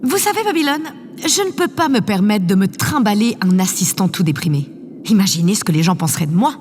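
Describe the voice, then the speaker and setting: A woman's voice: medium pitch, lively intonation, fairly quick, darkish tone, natural voice. A woman playing an imperious, self-absorbed female president in an audio drama, theatrical, commanding and condescending. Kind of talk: scripted audio drama acting. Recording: long hall reverb, faint noise. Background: faint ambient noise.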